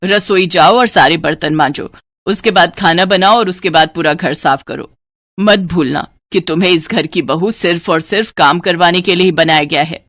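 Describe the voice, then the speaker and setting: A woman's voice: fairly low pitch, moderate pace, fairly bright tone, no echo, clean studio sound, close to an everyday voice. Woman voicing a demanding, belittling mother-in-law. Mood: bossy. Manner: commanding, belittling. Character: harsh, demanding middle-aged mother-in-law. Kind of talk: cartoon moral story voicing.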